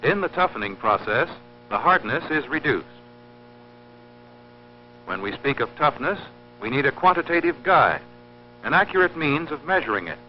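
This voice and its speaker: A man narrating an instructional film in a formal, scripted, measured and precise teaching manner. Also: on the high side, big pitch swings, a little hiss or hum. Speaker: narrator of an industrial training film. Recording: dull lo-fi old film soundtrack, humming.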